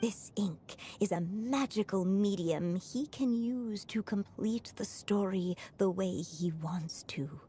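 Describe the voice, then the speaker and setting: An actress playing a gentle, earnest mother and writer in a video game, speaking softly and in measured phrases. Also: mid-range voice, a little hiss or hum, natural voice. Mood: earnest, hopeful. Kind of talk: scripted video game voice acting.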